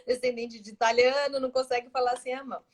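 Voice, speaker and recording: A woman with a São Paulo Brazilian accent, a guest astrologer chatting warmly on a live stream and laughing often, speaking into her device's built-in mic at home. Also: high voice, fast. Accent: São Paulo Brazilian Portuguese. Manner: warm, animated, laughs often between phrases. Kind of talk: casual live-stream chat. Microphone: device's built-in mic, no headset.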